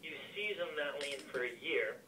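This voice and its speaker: A man's voice: fairly high pitch, expressive, moderate pace, sharp tone, distant mic, rough recording. A man explaining investments like a broker to buyers in a business phone conversation.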